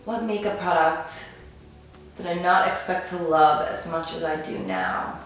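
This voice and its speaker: A woman's voice: fairly low pitch, sing-song, measured pace, soft background music. A woman in her twenties, a beauty vlogger, chatting casually to camera and answering viewer questions. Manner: chatty, gushing, many "like"s and "um"s. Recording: very roomy echo.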